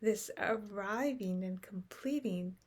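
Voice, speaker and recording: A woman leading a guided meditation in short, unhurried phrases with long pauses, softly trailing into breath at the ends. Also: medium pitch. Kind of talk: guided meditation, unscripted and contemplative.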